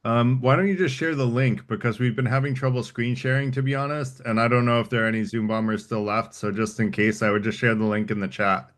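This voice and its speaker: A man's voice: mid-range voice, steady tone, quick pace, hardly any pauses, a bit bright. A man hosting an online meeting, talking casually and reassuringly on a video call. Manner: encouraging, reassuring. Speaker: meeting host. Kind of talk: casual, informal meeting talk.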